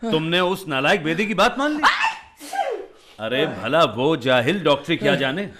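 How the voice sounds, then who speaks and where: An actor playing an indignant, concerned friend who chides with scornful questions in a Hindi radio play. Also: male voice, on the high side, lively intonation, moderate pace, lightly acted. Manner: scolding, rhetorical questioning. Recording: clearly noisy radio drama recording.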